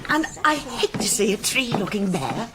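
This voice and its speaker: A woman's voice: mid-range voice, sing-song, moderate pace, natural voice. A woman playing a fussy, deferential village helper in a radio drama. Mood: fussy, admiring.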